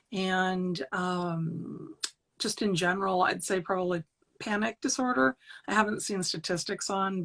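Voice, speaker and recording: A woman psychotherapist explaining her work on an online panel in an assured, explanatory way, listing her points steadily. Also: mid-range voice. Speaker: psychotherapist and author.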